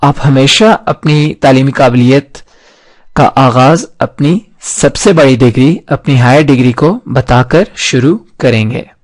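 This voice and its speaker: Slow, deliberate male teacher. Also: medium pitch, no room sound. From Pakistan. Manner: slow, measured, enunciates English example sentences very slowly. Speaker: English teacher. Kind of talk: scripted online language lesson.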